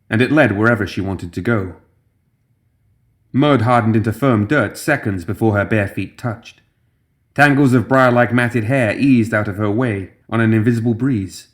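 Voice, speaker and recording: A man reading scripted fantasy fiction as a dramatic audiobook narrator. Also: fairly low pitch.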